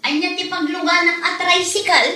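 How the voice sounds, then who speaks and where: A woman's voice: extremely high pitch, fairly even intonation, normal speed, pushed and bright. A woman playing a sassy, demanding, argumentative passenger in a comedy drama, bossy and indignant. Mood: impatient. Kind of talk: comedy radio drama acting. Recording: roomy echo, music behind.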